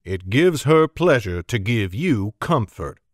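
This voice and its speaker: A man's voice: medium pitch, very animated, takes it slowly, no echo, clean studio sound. A man voicing a wry older bowyer who speaks with a smirking drawl. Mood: grave.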